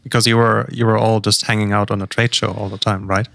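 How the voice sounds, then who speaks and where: Low-voiced teasing male podcast panelist. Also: level tone, quick pace, gravelly, a bit bright, no room sound. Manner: teasing, joking interjections. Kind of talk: casual podcast chat.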